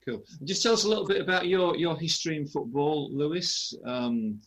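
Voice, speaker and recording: A man's voice: fairly high pitch, normal speed, fairly bright tone. A man hosting a casual radio interview, feeling his way hesitantly through his questions.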